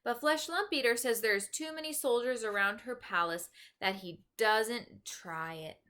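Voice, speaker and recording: A woman voicing a stubborn, gentle, pessimistic male giant with garbled folksy grammar in a children's storybook. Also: a little high, measured pace, clear, resonant tone. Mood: matter-of-fact. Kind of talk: children's storybook read-aloud.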